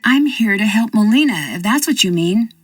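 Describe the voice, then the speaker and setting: An actress voicing a confident young American amateur detective who asks direct, probing questions with dry wit. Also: female voice, a little high, expressive, a little fast, dry recording, far from the mic, soft background music. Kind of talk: video game voice acting.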